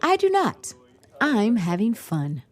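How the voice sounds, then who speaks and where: Casting: bossy teenage girl, high voice with big swings, insistent, for scripted audio drama. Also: wildly swooping pitch, slow pace, full character voice. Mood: indignant. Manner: driven, insistent. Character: fiercely competitive, bossy high-school girl team captain.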